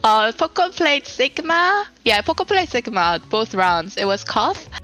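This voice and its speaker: A woman talks in a casual interview, her voice high and very bright. She is chatty and giggly, like someone in relaxed conversation. Music plays behind her. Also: unhurried, lo-fi sound. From South Korea. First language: Korean. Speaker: esports team assistant manager being interviewed.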